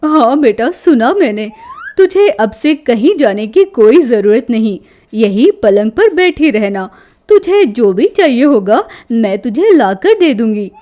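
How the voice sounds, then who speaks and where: Older mother-in-law played by a woman, theatrical and emphatic, highly animated pitch, slow pace, comic family storybook. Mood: worried, doting. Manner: loud, emphatic, dramatic. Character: gullible, theatrical older mother-in-law turning sternly vengeful. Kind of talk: Hindi family comedy storybook acting.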